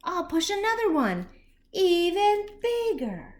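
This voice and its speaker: A woman's voice: very high voice, very animated, slow, a little mellow, voice pushed up, full character voice. A woman voicing a big, boastful male fish in a children's storybook, puffed-up and emphatic.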